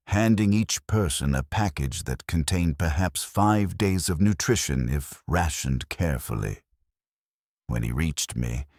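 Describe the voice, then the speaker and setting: A man with an extremely low voice reads a dark sci-fi horror story in the first person. His delivery is grave and measured, with lively movement in pitch. The recording is dry and close, without room sound. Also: no room sound. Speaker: narrator.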